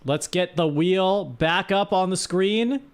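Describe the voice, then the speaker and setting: A man's voice: high voice, slow, a little hiss or hum. A man hosting a stream with casual comedic commentary, exasperated and playfully scolding as he reads out what viewers write. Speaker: streamer host.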